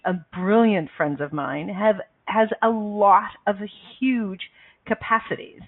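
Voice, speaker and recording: A woman hosts her radio show in easy, chatty talk, now and then tripping over a word. Her voice comes through thin and narrow, like a phone call. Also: mid-range voice. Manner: chatty, stumbles over words. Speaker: radio show host, coach. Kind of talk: casual radio chat. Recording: phone-line call-in audio.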